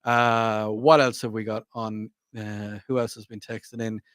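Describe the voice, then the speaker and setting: A man's voice: lowish voice, flat delivery, a little slow, fairly bright tone, dry recording. A man hosting a radio show, chatting casually in a playful, teasing, rambling way from a studio microphone. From Ireland.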